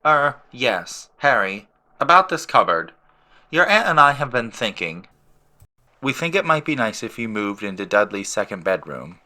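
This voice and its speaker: A man voicing a gruff, blustering middle-aged uncle with a furious temper in an audiobook drama. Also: medium pitch, sing-song, normal speed, bright, forceful tone. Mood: forced friendliness. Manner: snapping, sneering, croaking, roaring. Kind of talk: audiobook drama character acting.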